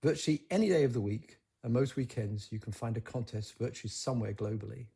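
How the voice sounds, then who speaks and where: A man's voice: medium pitch, fast talker, vocal fry, mellow, breathy edge. A man narrating a hobby documentary from a script as its host.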